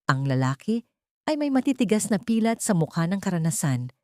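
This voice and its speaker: Female Filipino audiobook narrator, dramatic scripted storytelling, dark mellow tone, dry recording. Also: lowish voice, sing-song, brisk, no echo.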